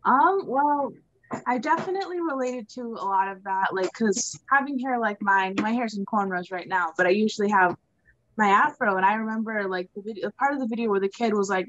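A woman's voice: mid-range voice, moderate pace, lo-fi sound, noisy background. A young American woman chatting casually from home on a video call. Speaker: event participant. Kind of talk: casual chat.

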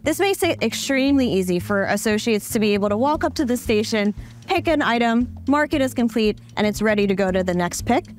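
A woman's voice: a little high, expressive, brisk. A young woman presenting a product demo on a warehouse floor, with a polished, upbeat sales delivery. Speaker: company presenter. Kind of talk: scripted product demo tour.

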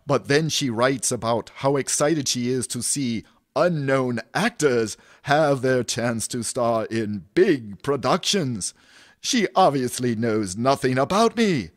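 Slow, pompous fading stage actor. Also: male voice, mid-range voice, animated, no echo, studio quality. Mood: indignant despair. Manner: theatrical, declaiming in mock-Shakespearean phrases. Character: pompous, fading old-school stage actor, self-pitying. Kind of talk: comedy audio drama acting.

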